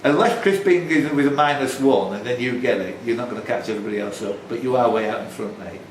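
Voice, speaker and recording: A man's voice: mid-range voice, quick pace. A man hosting a live pub quiz, reading questions and bantering, with asides that interrupt his own sentences. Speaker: quiz host, question reader. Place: indoors in a tavern. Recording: roomy pub, distant mic, faint noise.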